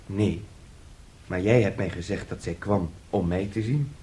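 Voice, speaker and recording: An actor playing a naive, eager, childlike son who speaks simply and trustingly in a radio play. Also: male voice, sits low, unhurried, dark tone, a little hiss or hum.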